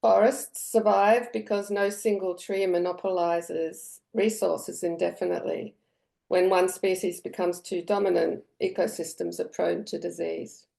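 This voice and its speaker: Low gravelly Australian woman, earnest. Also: steady tone, unhurried, dark tone. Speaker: webinar presenter. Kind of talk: reading a prepared talk.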